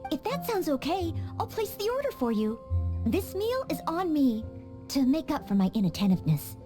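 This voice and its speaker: A woman's voice: high-pitched, big pitch swings, normal speed, over music. A woman voicing a polite, earnest young maid knight in a game, courteous and eager to serve.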